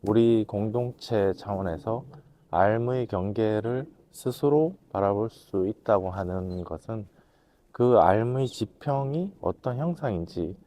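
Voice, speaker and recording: A man with a voice hoarse from a cold, giving a measured, reflective talk to camera, pausing to think as he explains. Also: fairly low pitch, mellow. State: has a cold. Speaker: host, management consultant lecturer.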